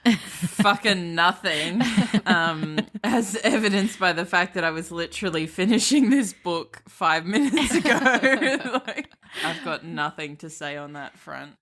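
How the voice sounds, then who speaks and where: A woman co-hosting a book podcast, chatting casually in a playful, expressive way. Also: lowish voice, measured pace. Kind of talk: casual chat.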